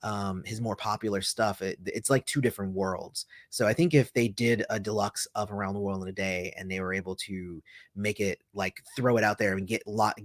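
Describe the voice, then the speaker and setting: A man's voice: fairly low pitch, no room sound. Chatty man reading live chat, dry sound. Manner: chatty, rambling with fillers and restarts, reads viewer comments aloud. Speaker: host answering livestream chat. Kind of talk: casual livestream chat.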